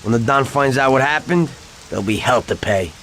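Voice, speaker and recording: A man's voice: mid-range voice, fairly quick, a bit bright, slight background noise, natural voice, low-quality recording. Man playing a tough mobster, rain behind him. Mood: bitter, weary. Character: tough, hot-tempered male mobster. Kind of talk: dramatic video game cutscene acting.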